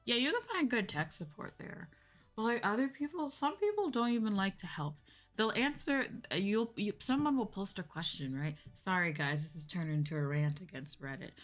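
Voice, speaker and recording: A woman streamer chatting casually and candidly to her viewers in a rambling, conversational way. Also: mid-range voice. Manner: conversational, candid, ranting with swearing. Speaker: streamer and VTuber host.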